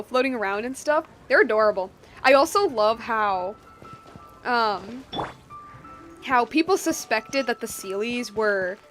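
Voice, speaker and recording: Chatty woman streamer with a higher voice. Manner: chatty, slangy, playful asides. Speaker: game streamer. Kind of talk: casual live game commentary. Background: game music.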